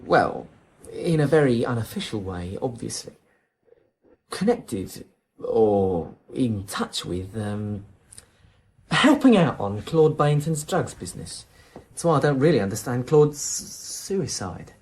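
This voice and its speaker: A man's voice: mid-range voice, big pitch swings, slow pace, darkish tone. A man playing a cocky small-time drug dealer in a radio crime drama, chummy and ingratiating with a salesman's patter. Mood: probing, sly.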